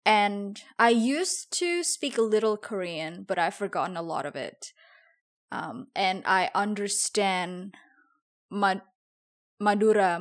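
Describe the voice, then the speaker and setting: Woman, Javanese speaker, chatting casually. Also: mid-range voice. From Java, Indonesia. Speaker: podcast host. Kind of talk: casual conversational podcast chat.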